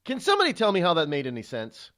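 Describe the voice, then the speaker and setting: A man's voice: on the high side, wildly swooping pitch, very fast, bright, forceful tone. A middle-aged man hosting a talk radio show, delivering an indignant, rhetorical monologue with frequent stumbles and fillers.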